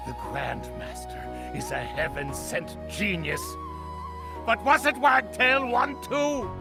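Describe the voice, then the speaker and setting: Gravelly, impassioned aging master. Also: high-pitched, full character voice. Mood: anguished, accusing. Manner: theatrical, impassioned, bitterly rhetorical. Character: aging, grief-stricken master mourning lost disciples, bitterly defiant. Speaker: teacher and master of disciples. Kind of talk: dramatic video game acting.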